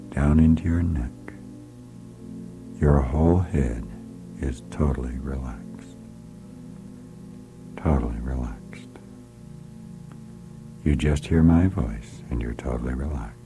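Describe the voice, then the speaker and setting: A man with an extremely deep voice guides a listener into hypnotic relaxation, speaking unhurriedly and softly, often slipping into a whisper. His calm, repetitive commands sit over clear background music. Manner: soft, hushed, often sliding into a whisper; slow, soothing, repetitive commands.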